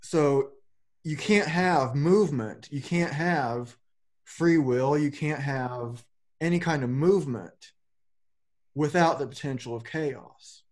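A man's voice: fairly high pitch, slow pace, a little mellow. A man in his forties talking loosely and rambling a little, with casual swearing, as a podcast host on a video call from home. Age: 40s. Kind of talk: casual philosophical conversation. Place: home room with a plain backdrop. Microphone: webcam on a video call.

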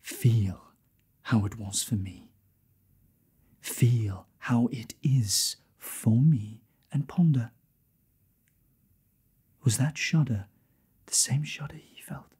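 A man's voice: mid-range voice, animated, normal speed, warm tone, husky. A man acting an arrogant, lewd libertine nobleman who addresses the audience in a theatrical monologue. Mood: intimate, sinister.

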